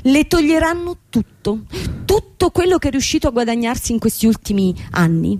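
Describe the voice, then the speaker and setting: A woman's voice: a little high, expressive, a little fast, a little mellow, close to an everyday voice. An actress playing a young woman company boss in a radio drama, formal, insistent and manipulative. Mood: threatening. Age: young adult. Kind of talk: theatrical radio drama acting.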